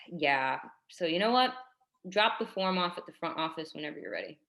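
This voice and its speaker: A woman's voice: on the low side, expressive, normal speed, gravelly, fairly bright tone. A woman playing a supportive, slightly awkward schoolteacher in a staged reading, speaking in an earnest, conversational, hesitant way. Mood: earnest, urging. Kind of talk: staged reading over video call.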